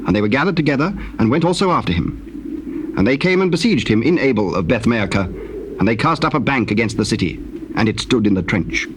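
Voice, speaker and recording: A man giving a solemn, measured scripted narration of dramatized scripture. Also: medium pitch, expressive. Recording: noisy cassette tape recording.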